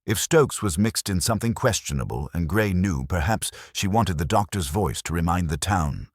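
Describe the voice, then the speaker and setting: An insistent, excitable sergeant, voiced by a male narrator in a detective audiobook. He is deferential but eager, and his pitch moves around a lot. The voice is low and husky, recorded dry. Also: normal speed, no echo. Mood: triumphant. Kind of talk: detective audiobook character reading.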